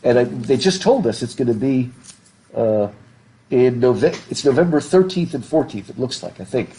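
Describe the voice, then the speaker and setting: A man's voice: medium pitch, expressive, moderate pace, warm tone, low-quality recording. A middle-aged man teaching a literature class with a stuffed up nose, chatty and laughing as he asks the class questions. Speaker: professor. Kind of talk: classroom lecture. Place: classroom with a blackboard. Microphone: laptop webcam.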